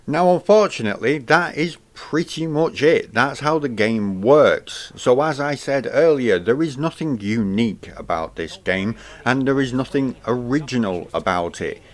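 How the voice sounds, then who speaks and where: A man with a mid-pitched voice reads his own scripted review of a video game. Each phrase starts high and falls away at the end, so the delivery sounds lively. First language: English.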